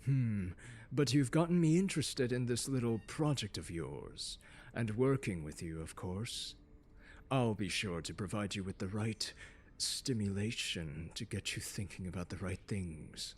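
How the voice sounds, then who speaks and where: A man playing a haughty, condescending, seductive scholar in an ASMR audio roleplay, speaking in a pompous, teasing drawl with deliberate emphasis. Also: fairly low pitch, darkish tone, a little hiss or hum, close to an everyday voice. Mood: smug, teasing. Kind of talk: ASMR roleplay, scripted lecture to the listener. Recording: long hall-like reverb.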